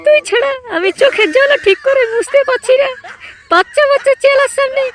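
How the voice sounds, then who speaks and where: A woman's voice: extremely high pitch, fairly quick. A woman voicing a beleaguered, self-pitying young male gang leader in a comedy cartoon, aggrieved and scolding. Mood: mournful. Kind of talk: cartoon voice acting.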